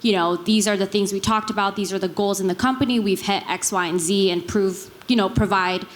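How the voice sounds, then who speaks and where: A woman's voice: medium pitch, steady tone, a little fast. A woman from Taiwan speaking casually and conversationally as a panelist, often checking in with the listener. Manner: conversational, frequent check-in tags like "right". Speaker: panelist, business executive.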